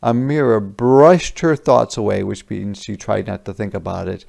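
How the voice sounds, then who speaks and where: A man reading a picture book aloud as a teacher, pausing to explain words simply in a deliberate storytelling manner. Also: lowish voice, expressive, vocal fry, darkish tone. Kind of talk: interactive children's read-aloud with explanations.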